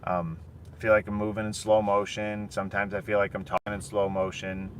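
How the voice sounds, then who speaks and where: A man's voice: on the low side, little pitch movement, fairly quick, distant mic. A tired, worried man in his thirties talking candidly and rambling a little in a live video recorded on his phone while he drives. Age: 30s. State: tired, foggy. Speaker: vlogger. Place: inside a car on the road. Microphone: phone in a moving car. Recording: loud car noise, voice off-mic. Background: road and car noise.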